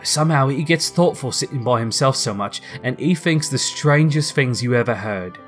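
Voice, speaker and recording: A man's voice: medium pitch, normal speed, soft background music, lightly acted. Storybook poor clerk and father, performed by a man: earnest, doting, tender, mid-range. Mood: tender, tremulous.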